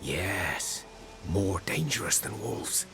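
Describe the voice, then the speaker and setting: A man's voice: low-pitched, big pitch swings, slow pace, over music, heavily acted. An actor playing a wary, plain-spoken village huntsman in a video game, with a folksy, brooding manner.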